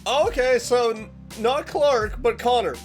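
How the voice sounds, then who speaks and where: A man with a fairly high voice reacts casually as a video host, commenting in short, informal bursts. Music plays softly behind him. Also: measured pace.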